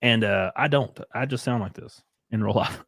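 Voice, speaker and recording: A man's voice: fairly low pitch, moderate pace, fairly bright tone, no echo, studio quality. A man hosting a casual livestream, crude, joking and teasing. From Southern US. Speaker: streamer host.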